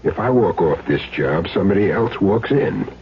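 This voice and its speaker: A man's voice: low voice, expressive, normal speed. An actor playing a grim man in a crime story, in 1970s radio drama style. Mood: grim, measured. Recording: dull, noisy old radio broadcast.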